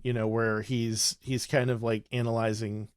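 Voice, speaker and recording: A man co-hosting a casual panel podcast, talking in a measured way with dry quips. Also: mid-range voice, little pitch movement, smooth, no echo. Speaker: podcast co-host.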